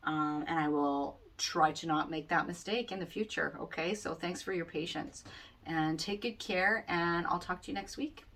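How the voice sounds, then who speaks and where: Woman, low voice, loud, rambling with fillers, astrology forecast, distant sound, unplugged mic. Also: normal speed. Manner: rambling, many ums and you-knows. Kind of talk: casual astrology forecast monologue. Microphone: camera mic, microphone not plugged in.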